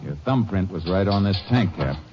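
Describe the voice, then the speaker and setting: Deep-voiced, terse Texas Ranger. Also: male voice, lively intonation, normal speed, background noise. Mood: stern, probing. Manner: terse, commanding. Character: tough, laconic male Texas Ranger leading an investigation. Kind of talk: 1950s radio drama acting and first-person narration. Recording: muffled old radio recording with music.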